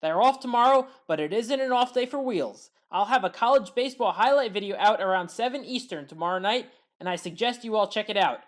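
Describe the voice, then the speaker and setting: A woman narrating baseball highlights as a voice-over, recapping the plays briskly and adding her own opinions as a fan. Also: expressive. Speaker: sports highlights commentator. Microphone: voice-over recorded separately.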